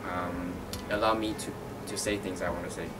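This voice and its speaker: Taiwanese-born artist, thirties, low-pitched, thinks aloud in restarts; recorded off-mic over music. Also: male voice, steady tone. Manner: thoughtful, halting, many fillers and restarts. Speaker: artist and art teacher. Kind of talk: interview answers. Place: gallery. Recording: distant mic with music underneath.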